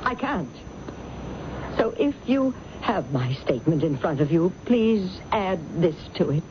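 An actress playing a proper, troubled woman manager in her thirties, halting and hesitant, in a radio mystery drama. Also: on the low side, big pitch swings. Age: 30s. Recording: muffled, noisy vintage radio broadcast recording.

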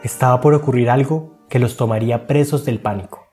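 A man's voice: mid-range voice, fairly quick, music in the background. A man narrating a scripted documentary as its host, in a dramatic, literary storytelling manner.

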